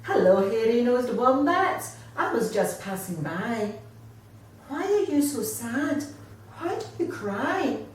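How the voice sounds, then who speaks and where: A woman voices a kindly female animal from a storybook, comforting and encouraging. The delivery is unhurried, heard from a little distance in a strongly reverberant room. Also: fairly high pitch, sing-song, voice pushed up. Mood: concerned. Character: kind, reassuring female animal friend. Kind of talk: children's storybook character voice. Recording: echoey room, distant mic, faint noise.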